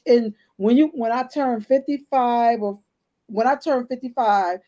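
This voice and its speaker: Candid woman in her fifties, mellow voice. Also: on the high side, unhurried. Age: 50s. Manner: candid, storytelling, addresses listeners as ladies. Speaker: podcast co-host. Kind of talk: casual health chat.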